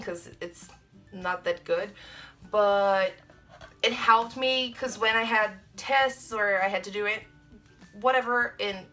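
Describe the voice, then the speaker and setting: Bright-voiced Brazilian woman vlogger. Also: fairly high pitch. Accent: Brazilian Portuguese. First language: Portuguese. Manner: chatty, many ums and likes, gestures a lot. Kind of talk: casual to-camera vlog answering tag questions. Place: small bedroom indoors. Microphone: camera mic at close framing.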